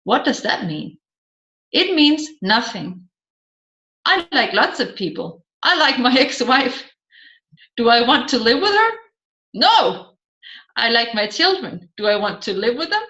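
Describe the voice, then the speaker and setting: Female audiobook narrator, unhurried deliberate delivery, emphatic questions, medium pitch, slightly dull recording. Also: big pitch swings, bright, forceful tone. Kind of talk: scripted audiobook narration of a seminar transcript. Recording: slightly dull, roomy echoing sound.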